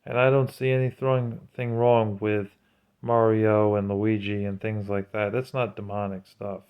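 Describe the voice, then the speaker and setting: A man with a fairly low, clear and smooth voice talks to his viewers in a casual vlog style. His delivery is flat, with little rise or fall. He sounds earnest and admonishing, like someone giving personal religious testimony. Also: unhurried, phone-quality sound. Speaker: vlogger.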